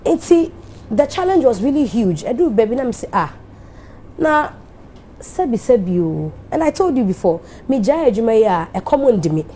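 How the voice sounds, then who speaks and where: A woman's voice: a little high, very animated, moderate pace, dark tone, a little hiss or hum. A Ghanaian woman hosting a TV show, giving an emphatic, heartfelt speech that mixes English and Twi.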